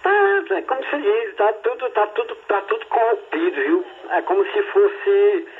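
A man's voice: high-pitched, big pitch swings, moderate pace, slightly rough. A man speaking emphatically on a ham radio chat. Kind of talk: casual chat over two-way radio. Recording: tinny radio with faint hiss.

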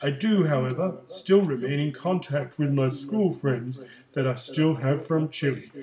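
A man playing an older male Chilean migrant who tells his story in a plain, steady way, in a school project role-play interview. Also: fairly high pitch, unhurried, reverberant, a crowd in the background, close to an everyday voice, poor audio. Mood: calm.

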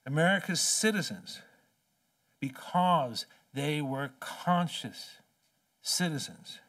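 A man in his forties giving an impassioned keynote speech at a podium, emphatic and rhetorical, with slow deliberate phrases. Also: a little high, a bit bright. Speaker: keynote speaker. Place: large conference hall, at a podium. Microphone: podium microphone. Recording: distant conference hall sound.

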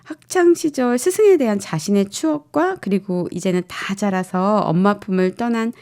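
A woman's voice: mid-range voice, moderate pace, darkish tone. A woman storyteller presenting in a polite, explanatory style. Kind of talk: book introduction in polite presentation.

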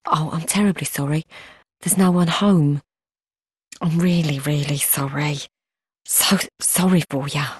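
A brittle townswoman in a dark video game, haughty and afraid beneath false sweetness. Her husky voice moves slowly and dramatically. It is recorded very dry. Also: low voice, no room sound. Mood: falsely apologetic. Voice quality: husky, breathy. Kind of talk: video game character acting.

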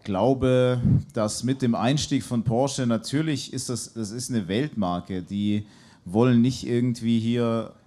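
A man's voice: lowish voice, warm tone. A man speaking as a journalist guest on a live football panel, hesitant and full of fillers, into a handheld mic on a pub stage.

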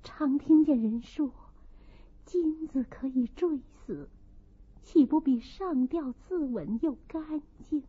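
Woman voicing a frail young concubine, high and muffled. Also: slow. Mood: despairing. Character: frail, gentle young concubine, tearful and resigned. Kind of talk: audiobook character acting. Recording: muffled, thin phone-like sound.

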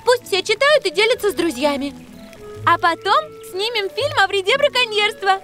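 A woman's voice: very high-pitched, lively intonation, normal speed, a bit bright, faint music behind. A woman voicing an eager, kind little girl in a dubbed children's cartoon. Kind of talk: animated children's cartoon dub.

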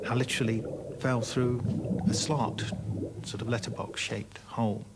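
A man's voice: medium pitch, fairly even intonation, moderate pace. A man in his forties giving a reflective interview as a rescue diver. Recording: clear background noise.

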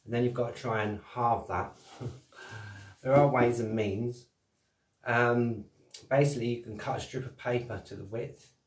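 A man's voice: medium pitch, fairly even intonation, normal speed. A man giving a cheerful, rambling how-to demonstration as channel host, talking at a desk in a small home workshop. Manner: cheery, rambling, laughs at own jokes. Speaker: cigar box guitar builder and channel host. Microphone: camera mic at desk distance. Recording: roomy, distant camera sound.

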